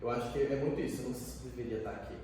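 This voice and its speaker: A man's voice: mid-range voice, racing, a little mellow, a little hiss or hum. A man in his twenties, an educator, talking earnestly and reflectively in a casual conversation. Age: 20s. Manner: reflective, earnest, many fillers. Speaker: youth educator. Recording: echoey room, distant mic.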